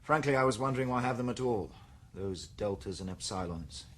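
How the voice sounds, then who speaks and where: In a radio play, a British actor plays a doubtful young man who fires off probing questions. He talks fast with a husky, slightly rough tone. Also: mid-range voice, expressive, lightly acted. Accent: British English. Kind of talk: 1990s radio drama.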